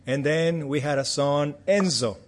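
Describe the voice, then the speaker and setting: A man's voice: fairly high pitch, a little slow, slight background noise. A man in his forties from Argentina with an Argentine Spanish accent, a native Spanish speaker giving a friendly, chatty missions report to a church with light self-deprecating humor.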